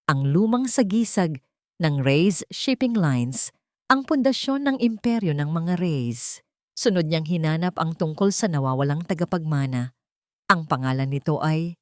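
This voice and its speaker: A woman narrates a scripted drama in a fairly low voice. The sound is dry, with very little room. She breaks the story into short phrases with deliberate pauses. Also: darkish tone, no echo. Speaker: narrator of audio love stories.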